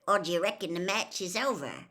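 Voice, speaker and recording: A hulking half-giant with a blunt, loud, dismissive way of talking, as a woman narrator puts him on. His voice sits low with broad pitch swings. Read as an audiobook. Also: animated, a little fast. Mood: gruff. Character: huge gruff kindly half-giant man.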